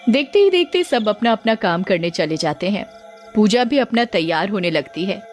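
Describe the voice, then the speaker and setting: A woman's voice: medium pitch, big pitch swings, moderate pace, faint music behind. A woman narrator reading a scripted story.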